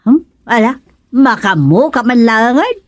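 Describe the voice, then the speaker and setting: A woman's voice: fairly high pitch, very animated, a little slow, loud music behind the voice. A woman with an Ilocano accent acting a practical, nagging wife who frets over money in a comedy radio drama. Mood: exasperated, scolding.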